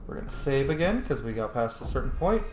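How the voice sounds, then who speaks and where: A man's voice: medium pitch, very animated, quick pace, echoey room, slight background noise. A man giving casual, playful video game commentary, swearing lightly and muttering to himself. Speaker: let's player hosting a gameplay series.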